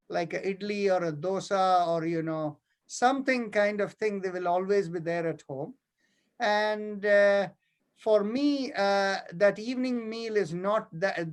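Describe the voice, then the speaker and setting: A man's voice: high voice, clear, resonant tone. A man, hosting a yoga class over a video call and talking casually, with many fillers and restarts. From India. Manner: hesitant, full of fillers and restarts. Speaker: yoga teacher and host.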